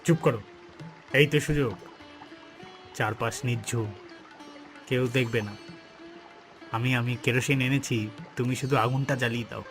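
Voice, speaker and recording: A man's voice: mid-range voice, steady tone, moderate pace, long pauses, soft background music, lightly acted. A man voicing a jealous, villainous elder brother in a cartoon melodrama, scheming and conspiratorial in manner. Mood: hushed, urgent. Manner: conspiratorial, menacing.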